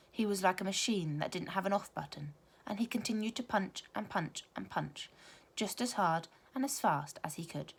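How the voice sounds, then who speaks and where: A woman teacher reading a children's story aloud, with expressive, emphatic storytelling. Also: fairly low pitch, slightly rough.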